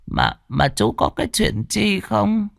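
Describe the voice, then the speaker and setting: A man's voice: high-pitched, wildly swooping pitch, slow pace, vocal fry, no echo, studio quality, voice pushed up, full character voice. A man voicing a frail old woman who sells lottery tickets, speaking weakly with a stammer and on the verge of tears. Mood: tearful. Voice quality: weak, trembling, stammering.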